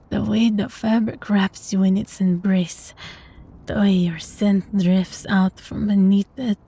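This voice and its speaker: A woman's voice: mid-range voice, warm tone, husky. A woman playing a possessive, sadistic vampire master in an intimate audio drama, teasing and condescending, often sinking toward a whisper.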